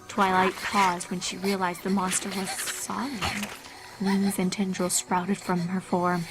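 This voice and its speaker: A woman narrates a horror story in a dramatic, scripted style. Her voice is medium in pitch, and music plays clearly behind her. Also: rough recording. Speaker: fan-fiction narrator.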